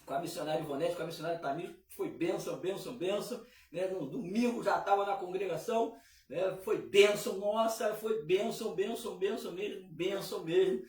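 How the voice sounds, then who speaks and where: Hoarse man hosting gospel radio. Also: high voice, brisk. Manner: warm preacherly, many fillers and repeats. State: hoarse from a cold. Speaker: radio show host. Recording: echoey room, distant mic.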